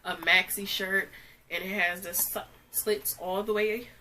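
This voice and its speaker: A woman's voice: medium pitch, fairly even intonation, a little slow, sharp tone, slight background noise, poor audio. A young woman with a stuffy cold, chatting casually through a clothing try-on haul, rambling with lots of "like". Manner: chatty, rambling, uses "like" often. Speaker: fashion vlogger. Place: small bedroom, indoors.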